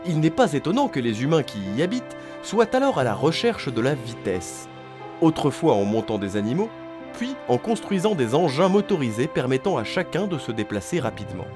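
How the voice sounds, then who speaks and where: A man's voice: on the high side, sing-song, a little fast, over music. A man delivering scripted narration in the manner of a documentary introduction to an audio drama.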